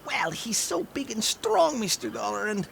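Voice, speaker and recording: A timid, excitable radio-play sidekick in a high voice that swings up and down. He whines, stammers and repeats himself nervously. There is faint noise behind him. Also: normal speed, vocal fry, heavily acted. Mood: sheepish, reluctant. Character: timid, excitable, pleading male sidekick named Oscar. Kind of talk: 1950s radio drama acting.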